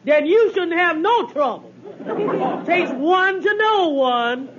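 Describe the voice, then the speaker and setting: Older mother-in-law, tart and sentimental, slow delivery, vintage radio comedy before an audible audience. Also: very high voice, expressive, a loud crowd, full character voice. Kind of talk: 1950s radio comedy acting. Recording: noisy muffled old radio recording, studio audience.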